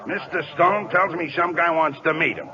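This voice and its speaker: An actor playing a gruff, slangy, loyal American veteran ballplayer in a vintage radio drama. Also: male voice, on the high side, normal speed, pushed and bright. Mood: urgent, protective. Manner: colloquial, streetwise slang. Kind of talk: 1940s-50s American radio acting. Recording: muffled old radio broadcast recording.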